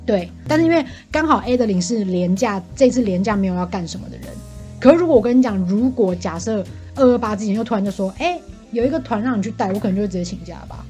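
A woman with a Taiwanese accent in casual, gossipy chat, venting about things in an animated way and mimicking the people she quotes. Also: mid-range voice, fast talker, faint music behind. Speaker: podcast guest venting about work.

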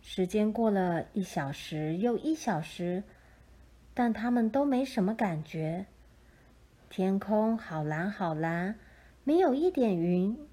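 A woman narrating a children's picture book in a gentle, measured storytelling style. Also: medium pitch, animated.

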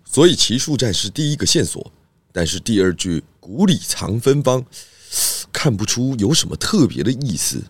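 An actor playing a calm, clever pig detective who explains patiently in a children's audio drama. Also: male voice, medium pitch, normal speed, slightly rough, no echo. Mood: thoughtful, explaining.

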